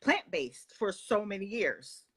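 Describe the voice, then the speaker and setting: A woman hosting an interview from home, halting and full of fillers while she affirms her guest. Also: mid-range voice, very animated, fairly bright tone, slightly rough. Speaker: interview host. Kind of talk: interview chat.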